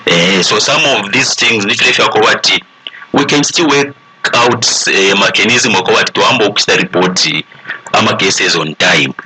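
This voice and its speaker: A Zambian-accented man with a deep, low voice speaks as a panel guest. He explains things informally and switches between languages, with a crowd audible behind him. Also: a little slow, low-quality recording. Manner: mixes English with a local language.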